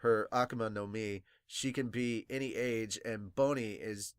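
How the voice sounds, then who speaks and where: A man hosting a fan reaction podcast in a casual, excitable way with some swearing, sounding a little congested and sniffly. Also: fairly low pitch, a little slow, clear, resonant tone, dry recording, studio quality. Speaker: podcast host reviewing manga. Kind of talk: casual fan reaction, reading viewer comments aloud.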